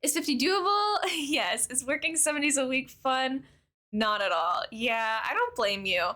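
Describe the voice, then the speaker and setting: A woman's voice: high voice, lively intonation, unhurried, sharp tone. A young woman livestreamer chatting casually with her viewers in a bubbly, talkative way. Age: young adult. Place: live game stream.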